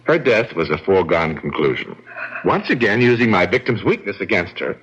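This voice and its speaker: Deep, suave, gloating radio-drama killer. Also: male voice, very animated, fairly quick, a bit bright, breathy edge, exaggerated voice. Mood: coolly taunting. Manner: smug, theatrical, taunting. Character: suave, cold, gloating killer brother-in-law. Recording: dull, band-limited old radio recording.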